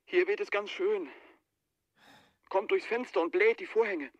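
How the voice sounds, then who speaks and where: High-pitched, frightened, haunted man. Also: unhurried, highs cut off. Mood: uneasy, fearful. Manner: urgent, agitated, hesitant. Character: frightened man haunted by the wind, anxious and pleading. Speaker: radio drama actor. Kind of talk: 1980s German radio play acting.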